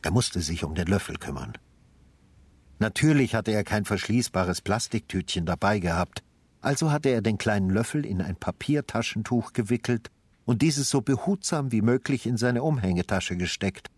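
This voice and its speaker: A haunted old-school detective narrates a radio drama in a low, heavily husky voice, recorded close and dry. His delivery is deliberate and brooding, with a sardonic edge. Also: lively intonation, dry recording. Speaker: narrator and lead actor. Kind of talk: literary radio drama narration with inner monologue.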